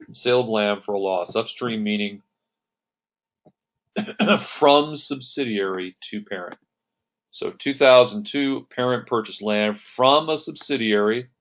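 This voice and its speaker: A man teaching an accounting lesson, working through the entries one step at a time and clearing his throat now and then. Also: medium pitch, a bit bright. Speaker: accounting instructor. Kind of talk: lecture over slides.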